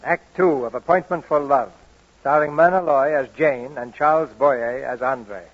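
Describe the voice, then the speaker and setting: A man's voice: mid-range voice, normal speed. A man reading scripted narration introducing a 1940s radio drama. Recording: dull 1940s radio broadcast. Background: music.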